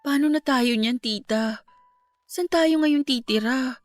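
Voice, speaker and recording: A woman's voice: sits high, slow, no echo. An actress playing a young woman grieving her grandparents in a radio drama, earnest and polite. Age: young adult. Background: faint background music.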